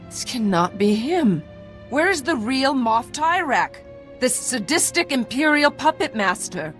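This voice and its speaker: An actress voicing a proud, vengeful clan warrior in a video game, speaking in a formal, solemn and intense way. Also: female voice, high-pitched, very animated, a little slow, fairly bright tone, faint music behind, exaggerated voice. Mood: anguished, demanding. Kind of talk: dramatic video game acting.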